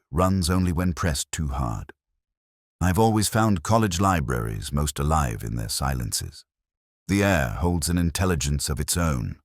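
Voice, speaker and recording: A man narrating a literary detective story in the first person, in a measured, wry manner as a pensive police detective. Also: rumbling low, dry recording, studio quality.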